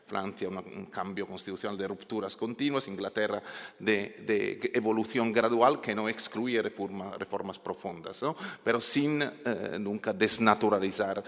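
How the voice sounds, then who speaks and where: Man, non-native speaker, panelist, hesitant with fillers, low voice, echoey hall. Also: moderate pace, gravelly. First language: not Spanish. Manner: hesitant, many fillers and restarts. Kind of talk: academic panel talk. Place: large conference hall.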